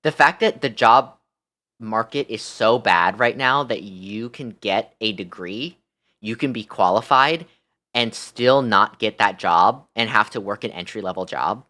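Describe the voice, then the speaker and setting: Young American male streamer, sharp forward tone, casual chat that tips into animated, sweary exasperation. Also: lowish voice, a little slow. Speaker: live streamer.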